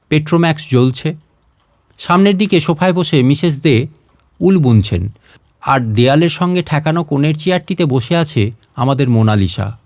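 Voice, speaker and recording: Male audiobook narrator for literary fiction, slightly high voice, expressive delivery, dry recording. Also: dry recording. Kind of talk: dramatised reading of a literary short story.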